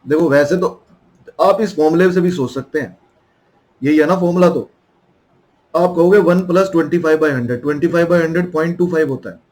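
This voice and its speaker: A man's voice: a little high, fairly quick, a little mellow. A young man with an Indian accent and a sore throat, teaching a math lesson in a coaxing way and calling his students children.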